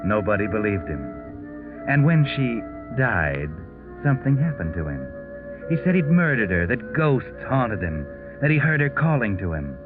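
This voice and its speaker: An actor playing an ominous, sardonic American storyteller, narrating a 1940s radio mystery in a knowing, theatrical way. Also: male voice, fairly low pitch, big pitch swings, normal speed. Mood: wry, knowing. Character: sardonic, ominous male storyteller of crime tales. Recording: tinny 1940s radio recording with music.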